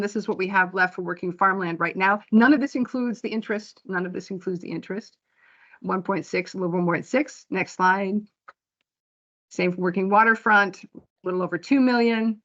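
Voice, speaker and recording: A woman's voice: medium pitch, normal speed, fairly bright tone. Woman director reporting figures, muffled audio. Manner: plain, practical, walks through figures slide by slide. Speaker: program director presenting finances. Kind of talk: board meeting report.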